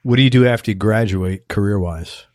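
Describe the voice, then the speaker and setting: Man, interviewer, low voice, short prompts, dry close sound. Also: a little fast, darkish tone, no echo. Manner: brief prompts and short reactions. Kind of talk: casual interview.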